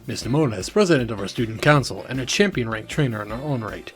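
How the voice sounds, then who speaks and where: Quick-talking, formal academy director played by a man. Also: mid-range voice, lively intonation, faint music behind, close to an everyday voice. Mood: courteous. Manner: formal, courteous. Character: polite, formal adult male academy director. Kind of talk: scripted game dialogue.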